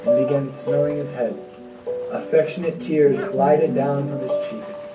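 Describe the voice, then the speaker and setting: A woman's voice: extremely low pitch, measured pace. A woman narrating a scripted devotional tale as storyteller for a puppet show. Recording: echoey, distant, music underneath. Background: music.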